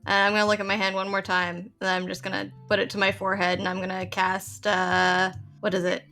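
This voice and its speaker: A woman's voice: medium pitch, completely flat delivery, fairly quick, sharp tone, soft background music. A woman playing a tabletop game, describing her character's actions and speaking in character. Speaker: player. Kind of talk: tabletop roleplay, mixing narration and in-character lines.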